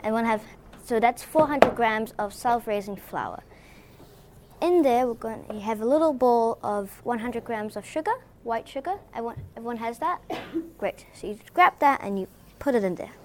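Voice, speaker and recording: A woman's voice: fairly high pitch, expressive, moderate pace, noisy background. 11 yr old girl from belgium teaching a kids baking class, lots of ums, chatty and a bit unsure. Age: 11 years old. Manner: hesitant, many ums, chatty. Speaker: young baking tutor. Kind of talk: casual workshop instruction. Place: community hub with children.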